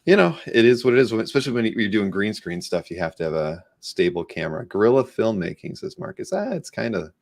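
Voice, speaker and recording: A man's voice: fairly low pitch, huge pitch swings, brisk, gravelly, few pauses. A man hosting a casual interview in a rambling, conversational way. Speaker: host of a comic art live show.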